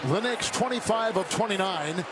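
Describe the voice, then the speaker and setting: A man's voice: high voice, lively intonation, normal speed, over a noisy crowd. An American man calling basketball play-by-play in live television commentary style. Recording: loud arena noise behind broadcast voice. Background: arena noise, bouncing basketball.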